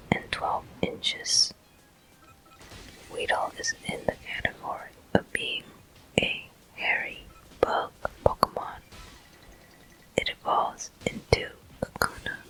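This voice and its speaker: A person whispering ASMR commentary over a video game walkthrough in a hushed, unhurried, explanatory way, pausing between short phrases. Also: rough recording. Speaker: gameplay host.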